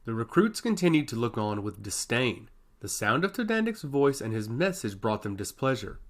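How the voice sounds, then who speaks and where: A male storyteller narrates scripted fantasy fiction. His voice sits at a middle pitch and moves over a wide, animated range. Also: normal speed.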